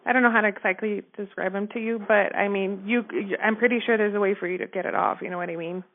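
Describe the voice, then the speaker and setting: A woman talks over the telephone, her mid-pitched voice squeezed into a narrow, muffled band. She recounts things informally, as in a casual witness interview, and keeps checking that the listener follows her. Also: steady tone, quick pace, a little hiss or hum. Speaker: store manager giving a witness account. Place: telephone call. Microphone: phone line. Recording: narrow muffled phone-line audio.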